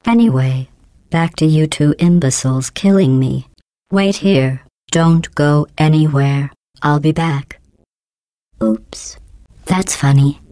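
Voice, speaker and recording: A woman voicing a cold, sarcastic female AI overseer in a video game, talking in a deadpan, condescending, passive-aggressive way with robotic processing. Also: deep voice, takes it slowly, no room sound, studio quality. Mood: menacing, mocking. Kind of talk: game voice clips.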